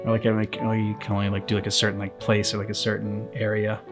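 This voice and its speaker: A man giving casual reaction commentary in a halting way, thinking aloud. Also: lowish voice, monotone. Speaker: reaction channel host. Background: faint game music.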